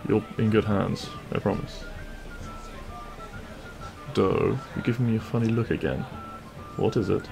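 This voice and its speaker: Man acting a gravelly, flirtatious bandit prince. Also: lowish voice, unhurried, pauses often, over music. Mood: reassuring.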